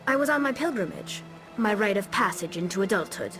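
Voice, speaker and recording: A woman's voice: mid-range voice, animated, brisk, fairly bright tone, faint music behind. A young actress playing an earnest alien engineer in a video game, patiently explaining her people's ways. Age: young adult.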